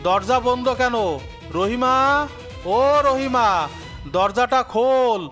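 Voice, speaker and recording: A man's voice: extremely high pitch, slow, fairly bright tone, full character voice. A man playing a callous, impatient, greedy businessman son in a melodramatic cartoon dub. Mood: demanding, angry. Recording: music behind the voice.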